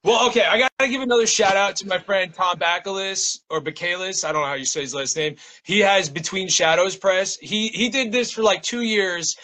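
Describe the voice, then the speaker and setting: A man's voice: high-pitched, fairly quick, few pauses, pushed and bright. A man chatting casually as an interview guest, rambling and breaking off his sentences with plenty of "like". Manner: rambling, self-interrupting, frequent "like". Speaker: interview guest, poet and small-press publisher.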